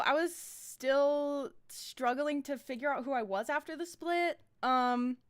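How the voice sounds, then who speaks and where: A woman with a fairly high voice hosts a live stream. She talks informally and rambles through commentary on her own animations.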